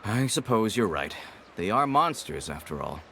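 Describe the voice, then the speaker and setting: Low-voiced, gloomy, grumbling dreamer. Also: male voice, big pitch swings, moderate pace, sharp tone, slight background noise, full character voice. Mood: pensive. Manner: musing, grumbling. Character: discontented man, skeptical, gloomy, longing for another life. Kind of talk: video game dialogue acting.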